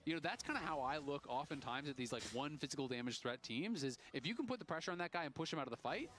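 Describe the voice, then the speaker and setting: A man's voice: a little high, lively intonation, a bit bright. A man casting an esports match, breaking down teams and plays with many "you know"s. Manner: fast, filler-heavy analysis with frequent "you know". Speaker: play-by-play caster. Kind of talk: esports commentary.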